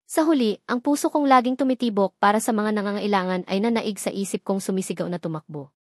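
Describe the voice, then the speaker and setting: A woman's voice: medium pitch, quick pace, dry recording, studio quality. A woman with a Filipino accent reading a scripted, dramatic first-person story as narrator.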